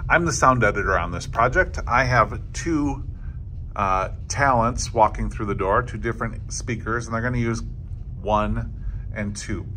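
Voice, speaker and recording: A man, a school technology teacher, explaining software step by step in a relaxed tutorial, filmed on a phone in a small studio. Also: lowish voice, a little slow, a little hiss or hum. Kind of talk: screen-recorded software tutorial.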